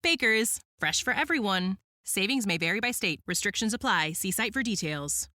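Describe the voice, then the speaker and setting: A woman's voice: mid-range voice, lively intonation, moderate pace, bright, forceful tone, dry recording, studio quality. A woman reading a scripted commercial in an upbeat, salesy way. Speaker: ad announcer.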